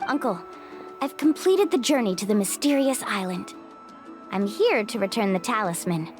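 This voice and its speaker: A woman's voice: medium pitch, animated, normal speed, lightly acted. A woman voicing an earnest, upbeat young female guide in game dialogue. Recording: game audio with music behind.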